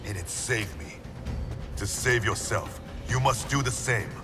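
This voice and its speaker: Stern ninja master played by a male actor, low, solemn and resolute, game cinematic with loud score. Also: close to an everyday voice. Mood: solemn, intense. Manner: solemn, formal, deliberate phrasing. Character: stern honor-bound male ninja clan leader, grieving yet resolute. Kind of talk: dramatic video game voice acting. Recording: loud cinematic music behind.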